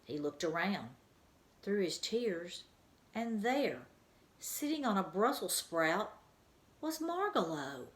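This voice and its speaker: A woman reading a children's book aloud as a teacher, with an expressive storytelling manner, in an echoey room. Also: mid-range voice, slow pace, pauses often.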